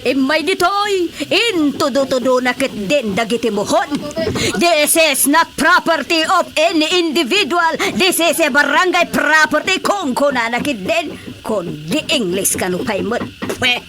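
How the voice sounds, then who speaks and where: Brash Filipino madam, high voice, slow drawn-out mockery, exclamatory, radio drama comedy, crowd noise behind. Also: female voice, animated. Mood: indignant, scornful. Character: brash, scornful madam building a grocery and boarding house.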